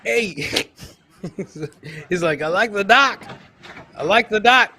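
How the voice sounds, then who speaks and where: A man's voice: high-pitched, expressive, takes it slowly, bright, forceful tone, slight background noise. A man hosting a comedy podcast, casually commenting on funny video clips and repeating his own catchphrases. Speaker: podcast host.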